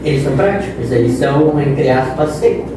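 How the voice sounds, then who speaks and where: A man's voice: medium pitch, animated, fairly quick. Young male interview guest, explains with examples; roomy echo, mic at a distance. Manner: explains with examples and quoted phrases. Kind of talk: casual interview talk. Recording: echoey room, distant mic, noisy.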